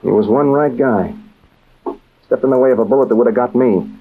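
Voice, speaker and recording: An actor playing a tough, loyal American adventurer with terse, slangy, hard-boiled lines in a 1940s radio drama. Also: male voice, medium pitch, fairly quick, natural voice. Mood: subdued, resolute. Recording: muffled vintage radio recording, faint noise.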